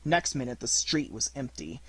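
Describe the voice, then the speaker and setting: A man's voice: medium pitch, sing-song, normal speed, a bit bright, breathy edge. A man reading a literary story aloud as an audiobook narrator, in a scripted narration style.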